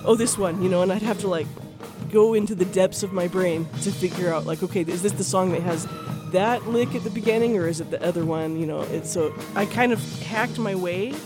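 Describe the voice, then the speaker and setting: A woman's voice: fairly low pitch, a little fast, music in the background. A woman recounting her own experience in a candid, self-deprecating way, with plenty of likes and you-knows. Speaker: guitarist interviewee. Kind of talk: casual recounting.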